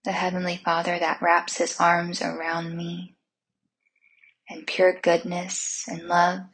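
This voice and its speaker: Very breathy, slow woman guiding meditation. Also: fairly low pitch, monotone, poor audio. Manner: soothing, unhurried, gentle instruction with long pauses. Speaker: guided meditation host.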